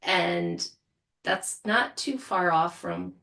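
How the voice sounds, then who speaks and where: A woman's voice: fairly low pitch, drawn-out words, gravelly, fairly bright tone, a little hiss or hum. A woman on a podcast panel giving her opinion casually and plainly, building her case piece by piece.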